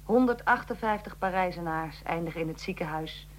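A woman's voice: lowish voice, brisk, a little hiss or hum, close to an everyday voice, rough recording. A woman playing a tense eyewitness reporter in a radio drama, commenting dramatically on history as it unfolds. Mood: sober, probing. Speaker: reporter and interviewer.

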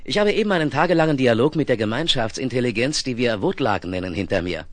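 An actor playing a confident, wry space explorer in a 1970s German science-fiction radio play, with a theatrical, dryly ironic delivery. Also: male voice, mid-range voice, lively intonation, fast, lightly acted.

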